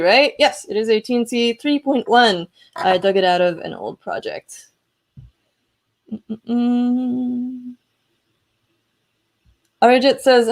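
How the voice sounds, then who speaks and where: A woman's voice: fairly high pitch, a little mellow. A woman hosting a live electronics show, chatting casually and enthusiastically in an informal, rambling way as she demonstrates her build. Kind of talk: casual livestream chat with demonstration.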